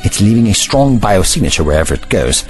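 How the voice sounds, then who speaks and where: A man's voice: lowish voice, very animated, fast talker, faint music behind. A man playing an older, commanding and protective time traveler in an audio drama, speaking formally and precisely in an avuncular way. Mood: measured, wry. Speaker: actor in a sci-fi audio drama.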